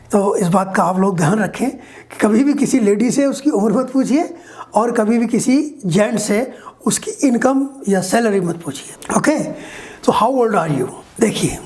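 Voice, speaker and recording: Woman teaching, slowing for examples. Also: fairly high pitch, darkish tone. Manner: explains step by step and reads English example sentences slowly. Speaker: English teacher. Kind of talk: video lesson.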